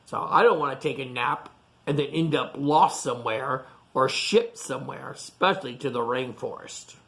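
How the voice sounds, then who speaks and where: A woman reading a picture book aloud to children, chatting to them in animated asides and questions. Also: rumbling low, normal speed, vocal fry. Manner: animated asides to young listeners, asking questions. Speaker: storytime reader.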